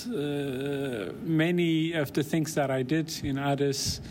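A man's voice: fairly high pitch, fairly even intonation, slow, a little mellow, slight background noise. A man from Ethiopia, a pastor, answering interview questions thoughtfully, often ending phrases with "right?". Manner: thoughtful, with frequent "right?" tags and restarts.

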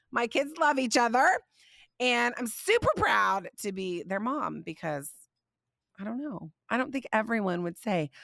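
A woman in her forties chats on a faith podcast and tells her stories with lively energy. Her voice is a touch scratchy from being under the weather. She sounds close and dry, with no room around her. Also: fairly low pitch, no room sound. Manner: chatty, warm-hearted, animated storytelling. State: slightly scratchy voice from illness. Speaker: podcast host. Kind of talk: casual faith podcast chat.

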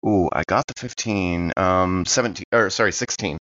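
Deep-voiced man, dull dry sound. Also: normal speed, background noise. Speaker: tabletop game player. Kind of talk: casual in-character description of actions.

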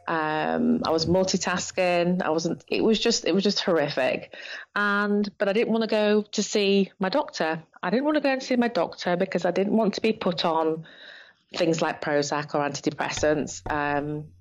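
A woman in her fifties with a Yorkshire accent speaks as a podcast guest, talking fast and laughing easily. Her voice sits on the low side. She comes through a dull, lo-fi remote line. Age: 50s. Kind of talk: casual interview.

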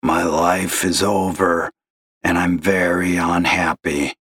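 A man voicing a miserable, gravel-voiced caller who talks slowly and gloomily. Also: low voice, level tone, a bit bright, no room sound, clean studio sound, exaggerated voice. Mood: gloomy, bitter. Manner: slow, gloomy and accusing. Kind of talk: audio story reading.

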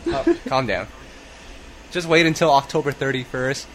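A man's voice: mid-range voice, animated, normal speed, a crowd in the background. An American man hosting a podcast in casual chat, easygoing and teasing. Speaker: podcast host.

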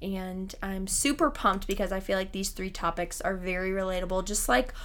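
A woman in her twenties co-hosting a podcast in casual chat, her voice slightly raspy today, bubbly and rambling. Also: medium pitch, moderate pace, a bit bright. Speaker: podcast co-host.

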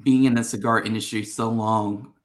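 A man's voice: lowish voice, steady tone, normal speed. A man hosting a casual interview show, asking rambling, friendly questions with plenty of ums and restarts. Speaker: podcast host.

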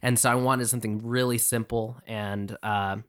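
A man who says he has a high-pitched voice, chatting casually and self-deprecatingly as a guest on a podcast about his band. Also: fairly even intonation, normal speed, a bit bright, clean tone.

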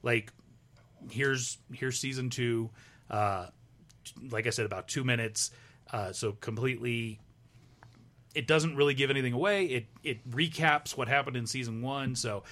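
A man in his forties sits in a radio studio and talks about the show he runs. His voice is mid-pitched and ordinary. He talks in a relaxed, casual way and slips in dry, teasing jabs at friends. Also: measured pace. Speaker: showrunner and guest.

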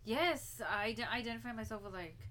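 A woman's voice: fairly high pitch, fairly quick, a bit bright. A woman in casual group chat, speaking hesitantly and hedging with fillers.

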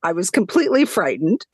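A woman's voice: on the high side, breathy edge, no echo, clean studio sound. A middle-aged woman whose was Hungarian, telling stories as a podcast guest, chatty, laughing often, with many fillers. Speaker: guest author. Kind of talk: conversational storytelling.

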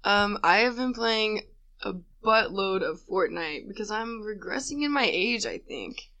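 An American woman in her twenties co-hosting a casual chat with a friend, in a somewhat deep, monotone voice. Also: a little slow. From United States. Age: 20s. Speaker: podcast co-host.

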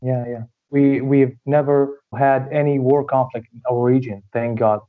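A man's voice: medium pitch, steady tone, normal speed. A young man from Syria, a student, answering interview questions in a friendly way with many fillers. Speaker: guest, dental or medical student. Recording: tinny phone-like remote line.

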